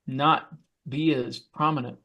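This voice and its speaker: A man co-hosting a podcast, talking casually and haltingly as he searches for words. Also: medium pitch, steady tone, dull audio. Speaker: podcast co-host and author. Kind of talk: casual conversational recollection.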